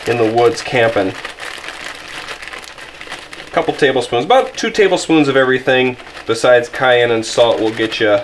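A man's voice: mid-range voice, moderate pace, long pauses, a little hiss or hum. Easygoing young man cooking in his kitchen. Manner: easygoing, apologetic, rambling with restarts. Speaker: outdoor vlogger cooking camp meals. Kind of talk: casual how-to cooking narration. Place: home kitchen, indoors. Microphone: camera filming from above the stove.

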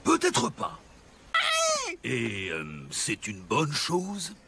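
A man voicing a big, naive, good-natured warthog in an exuberant, guileless way for an animated film. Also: medium pitch, drawn-out words, creaky, noisy background, heavily acted. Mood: hesitant. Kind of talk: animated film dub acting.

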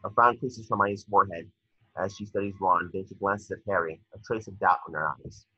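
A man's voice: deep voice, steady tone, a little fast, pushed and bright, husky, dry recording. A man reading stage directions aloud as narrator at a table read, now and then stumbling over words. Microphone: video call. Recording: dull lo-fi video call audio.

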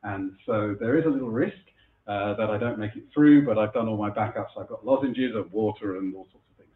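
A middle-aged man with a husky voice from a cold, giving a chatty conference keynote in a lecture room, with many fillers and restarts. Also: lowish voice, a little fast. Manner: chatty, self-deprecating, frequent fillers and restarts. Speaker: keynote speaker. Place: lecture room before a live audience. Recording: echoey room over a phone-like line.

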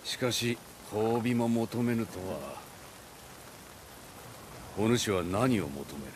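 An actor playing a commanding, ambitious samurai warlord in a dramatic video game, speaking in a stately, archaic, authoritative way. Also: male voice, on the low side, lively intonation, takes it slowly, a little hiss or hum. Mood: musing. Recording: music behind.

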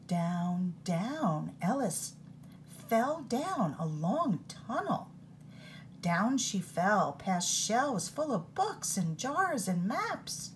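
A woman reading a picture book aloud in an expressive storytime manner, recorded on a phone held over the book. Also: lowish voice, a little hiss or hum.

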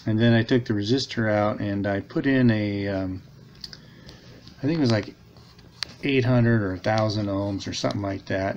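A man's voice: fairly low pitch, gravelly, dull audio. A man giving a casual, unscripted repair walkthrough, thinking aloud in a hesitant, rambling way, recorded on a handheld camera at a desk indoors. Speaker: hobbyist radio repairer presenting a video. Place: small indoor room at a desk. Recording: steady background noise, handheld camera recording.

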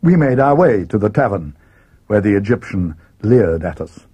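Male narrator: schoolboy sidekick recounting a mystery, expressive storybook delivery, music underneath. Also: fairly low pitch, normal speed, breathy edge. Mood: measured, grave.

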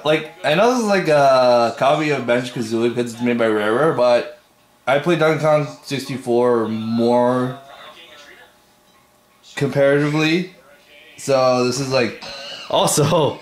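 A man's voice: a little high, measured pace, long pauses, a bit bright, reverberant, faint music behind. A young man vlogging casually from his bedroom, gushing and rambling about games, filmed on a camera held at arm's length. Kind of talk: casual vlog chat. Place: bedroom at home.

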